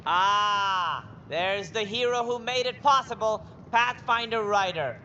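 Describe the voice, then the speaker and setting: An actress playing a formal, stately official giving an uplifting public speech in a video game. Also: female voice, mid-range voice, slow, sharp tone, muffled recording, a little hiss or hum. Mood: ceremonial.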